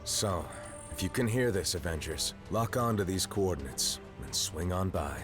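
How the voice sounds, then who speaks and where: A man playing a gruff, wry veteran spy hero in a video game cutscene, dry and confident. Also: low voice, expressive, normal speed. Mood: wry urgency. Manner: dry, confident, matter-of-fact. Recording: game music behind.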